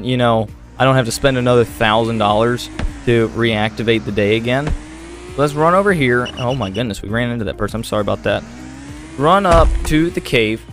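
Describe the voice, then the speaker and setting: A man giving casual game walkthrough commentary, chatty and thinking aloud as he plays. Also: medium pitch. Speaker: gaming video host.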